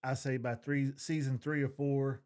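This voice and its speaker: A man's voice: medium pitch, little pitch movement, normal speed, a little mellow, clean tone. A man chatting casually as a podcast co-host, opinionated and informal, with the odd swear word. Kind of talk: casual fan discussion.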